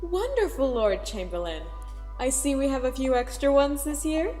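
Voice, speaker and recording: A woman plays a regal cartoon pony princess, high and lilting. She is poised and courtly, with lively rises and falls over soft music. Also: normal speed. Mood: gracious. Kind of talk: dramatic fan fiction reading.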